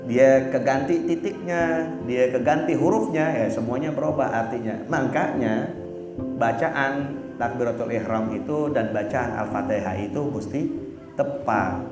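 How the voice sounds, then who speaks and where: A man's voice: medium pitch, measured pace. A man preaching a sermon in a casual, blunt and teasing way, often stopping to search for words. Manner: casual and blunt, teasing, fillers like "apa namanya". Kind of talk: religious sermon. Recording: echoey room with music behind.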